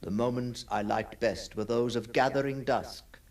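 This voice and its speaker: A man's voice: mid-range voice, steady tone, measured pace, a little mellow, natural voice. An actor playing a restless middle-aged man obsessed with his other self, speaking in intense, literary phrases in a ghost-story drama. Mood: haunted, absorbed. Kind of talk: radio ghost-story acting and first-person narration.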